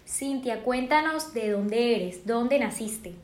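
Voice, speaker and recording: A woman hosting an interview, asking friendly, measured questions. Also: fairly high pitch, animated. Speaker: interviewer host.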